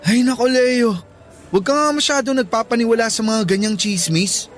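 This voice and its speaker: A high-pitched young male student, easygoing and earnest, with a Filipino accent. He speaks casually like a suitor in a radio love drama, over faint music. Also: moderate pace. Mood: doubtful, defensive.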